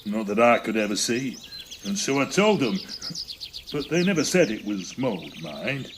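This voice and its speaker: A man's voice: lowish voice, big pitch swings, measured pace, a little hiss or hum. A man playing a blunt, curious Edwardian builder in an audio drama, with a colloquial working-class English accent. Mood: frank, guarded.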